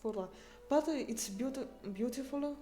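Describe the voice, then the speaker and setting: A woman streamer chatting casually with her viewers, speaking halting non-native English with frequent restarts while she searches for words. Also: high voice, warm tone. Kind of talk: casual live-stream chat.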